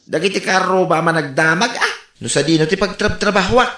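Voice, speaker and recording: A man's voice: high voice, fairly quick, sharp tone. An actor playing an exasperated working man pestered by neighbours in an Ilocano radio comedy, grumbling and exclaiming with an Ilocano accent. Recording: roomy echo.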